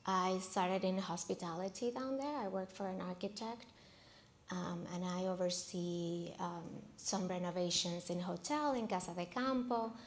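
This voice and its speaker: A woman's voice: fairly low pitch, a little slow, a little hiss or hum. A woman from the Dominican Republic, a native Spanish speaker with a Dominican accent, telling her career story conversationally as a designer on a panel.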